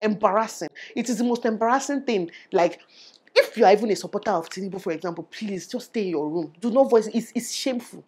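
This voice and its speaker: A woman's voice: mid-range voice, quick pace, husky. Outspoken young Nigerian woman vlogger. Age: young adult. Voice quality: small voice. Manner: animated, opinionated, loud. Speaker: YouTuber. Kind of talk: casual opinion vlog. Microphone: lavalier.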